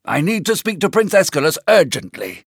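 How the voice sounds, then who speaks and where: A woman acts out a worried old man from a storybook, his voice sunk low and sliding about. He sounds tired and anxious, as if pleading for help. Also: very animated, fairly quick, vocal fry, breathy edge, no room sound, clean studio sound, exaggerated voice. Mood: urgent, pleading. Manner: weary, anxious.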